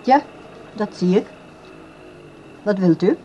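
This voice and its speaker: Guarded adult daughter, middling pitch, politely aloof, measured delivery, radio drama. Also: female voice, lively intonation, warm tone, muffled recording, a little hiss or hum. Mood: cool, guarded. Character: cool, guarded adult daughter of an old man. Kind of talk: Dutch radio play acting.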